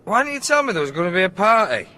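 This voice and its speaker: A confused, grumbling boyfriend in a radio drama, whiny and complaining. His voice is fairly high, bright and expressive, with faint noise behind it. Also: very fast, heavily acted. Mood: puzzled, irritated. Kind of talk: naturalistic radio drama acting.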